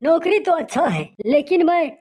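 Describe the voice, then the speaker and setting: A woman's voice: very high-pitched, sing-song, moderate pace, darkish tone. A woman voicing a stressed, busy young man, a chatty friend in a moral story. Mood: weary, complaining. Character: stressed, busy young male friend tired of office life. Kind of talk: acted moral-story dialogue.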